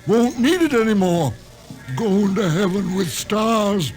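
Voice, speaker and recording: High-voiced, fervent rustic cult believer. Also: male voice, lively intonation, slow, darkish tone, faint music behind, poor audio. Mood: rapturous zeal. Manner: folksy, preachy, chanting zeal. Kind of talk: classic radio drama acting.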